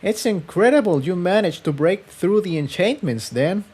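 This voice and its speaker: A man's voice: high-pitched, lively intonation, normal speed, a little mellow, husky, pitched above the performer's own voice. A man voicing a curious, questioning pony adventurer companion in a story reading, with an inquisitive, light delivery.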